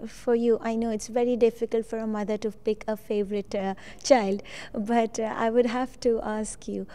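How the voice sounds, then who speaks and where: A woman TV host interviewing a guest on location, sounding effusive and admiring. Her voice sits slightly high with a mellow, warm tone, and she fills pauses with uh. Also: level tone, clean tone. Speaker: TV show host. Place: indoors at a school art exhibition.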